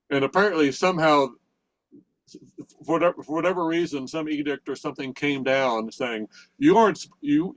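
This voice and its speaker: An older man from central Pennsylvania tells a rambling story in a relaxed group chat. He restarts his sentences often and chuckles as he goes. His voice sits in a middle pitch, and the recording sounds dry and close. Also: no echo, low-quality recording. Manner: rambling, many restarts, chuckles through stories. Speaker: participant in a technical session.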